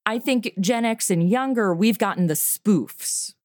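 A woman's voice: mid-range voice, unhurried, a little mellow, dry recording, clean studio sound. A woman in her forties, a comedy podcast creator, talking enthusiastically in an interview and laughing easily. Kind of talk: interview conversation.

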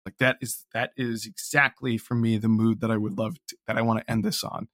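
A man speaking as an interview guest about his writing, earnest and self-deprecating, in a reflective, casual and halting way. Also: lowish voice, level tone, a bit bright, no room sound, clean studio sound. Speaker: interview guest, audio drama writer.

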